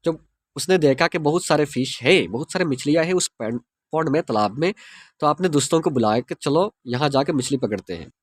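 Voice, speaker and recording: Lively, high-voiced Indian man teaching English. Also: husky, no room sound. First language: Hindi. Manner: spells words letter by letter, reads English lines very slowly. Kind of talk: explaining an English story line by line.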